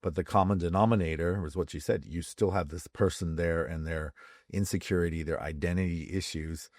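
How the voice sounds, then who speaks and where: Very deep-voiced affirming male host. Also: steady tone, a little fast, a little mellow, no room sound, clean studio sound. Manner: affirming listener, frequent "mm" and "yeah". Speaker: host, church leader. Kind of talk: casual interview.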